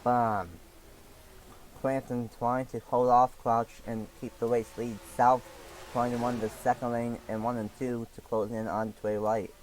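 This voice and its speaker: A young man commentates a sim race lap by lap, his voice a little raspy from allergies. He talks in halting bursts full of fillers and restarts, over a faint hum of ambience. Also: medium pitch, flat delivery, poor audio. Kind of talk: play-by-play sim racing commentary.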